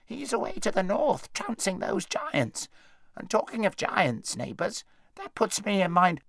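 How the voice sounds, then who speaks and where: A man's voice: high voice, big pitch swings, a little slow, creaky, fairly bright tone, voice pushed up, heavily acted. A man voicing a sleepy, chatty little hedgehog that talks in a homely, rambling way. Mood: drowsy, chatty.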